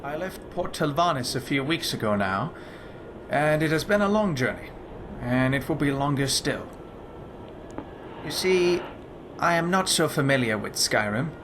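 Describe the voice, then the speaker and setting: A man voicing a polite, earnest lizard-folk lawman and alchemist in a fantasy game, speaking formally and in measured phrases. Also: on the high side, animated. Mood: courteous, hopeful. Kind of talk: scripted fantasy game dialogue. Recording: clear ambient noise behind the voice. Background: game ambience.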